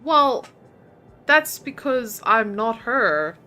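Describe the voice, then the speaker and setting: A woman's voice: fairly high pitch, big pitch swings, extremely slow, sharp tone, faint music behind. A woman streamer casually commenting on her game, muttering to herself while solving puzzles and chatting with viewers.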